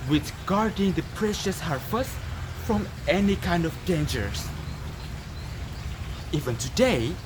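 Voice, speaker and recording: A teenage student from Indonesia with an Indonesian accent tells a folktale dramatically, with deliberate, emphatic pauses. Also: medium pitch, noisy background. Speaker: student storyteller. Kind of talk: dramatic oral storytelling for a competition.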